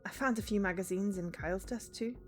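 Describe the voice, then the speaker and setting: A woman voicing a brisk female agent in a visual novel, casual and direct with a work partner. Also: medium pitch, soft background music, lightly acted. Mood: teasing, gossipy.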